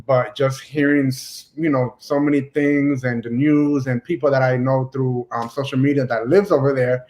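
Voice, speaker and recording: A man's voice: medium pitch, steady tone, normal speed, darkish tone. A man hosting a casual online interview, rambling with lots of fillers. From Dominican family, US. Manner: rambling, many fillers like "you know". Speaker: podcast host.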